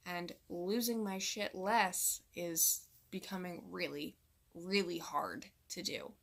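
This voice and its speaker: A woman in her thirties speaking as a mom vlogger in a direct-to-camera monologue. She vents candidly and sounds exasperated. Her pitch sits in an ordinary middle range. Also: fairly bright tone. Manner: exasperated, candid venting to viewers.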